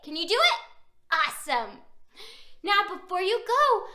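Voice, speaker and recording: High-pitched, animated woman reading to children. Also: measured pace. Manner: exaggerated wonder, checks in with questions to child listeners. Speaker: children's picture book reader and host. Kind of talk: animated read-aloud to young children. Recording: roomy echo.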